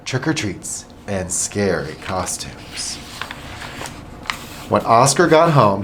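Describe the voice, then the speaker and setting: A middle-aged man, a school administrator, reading a picture book aloud to children in an animated, expressive way. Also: on the low side, a little hiss or hum.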